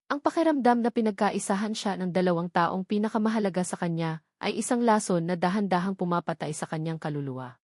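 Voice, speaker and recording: A woman's voice: lowish voice, fast, a little mellow, no echo, studio quality. A woman with a Filipino accent reading a scripted, dramatic romance story as its narrator.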